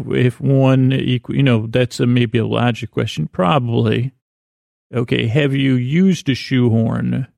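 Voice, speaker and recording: A man with a heavily creaky, gravelly voice talks in a mid-pitched register, recorded close and dry. He rambles as a sleep podcast host, stopping, restarting and wandering off in a gently comic monologue. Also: dry recording. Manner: halting, meandering, self-interrupting.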